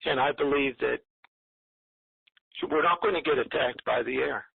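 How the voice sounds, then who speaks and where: A man calling in to a talk line, speaking in a hesitant, earnest, gloomy and rambling way with a gravelly, husky voice. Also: medium pitch, moderate pace, no room sound. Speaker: caller. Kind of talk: rambling call-in commentary. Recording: narrow muffled phone-line sound.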